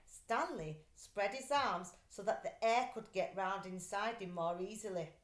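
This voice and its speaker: A woman reading a children's storybook aloud like a teacher, in an expressive, deliberate way. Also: mid-range voice. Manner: animated, deliberate storytelling delivery. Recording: roomy, echoey sound.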